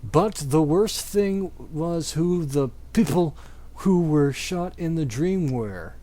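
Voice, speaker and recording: A man performs a dramatic reading in a fairly high voice. His pitch moves widely and his pace is slow. The delivery is exaggerated and amateur, liable to stumble or crack up. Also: animated, warm tone. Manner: breaks into laughter and stumbles while reading. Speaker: amateur reader. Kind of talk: dramatic reading of fan fiction.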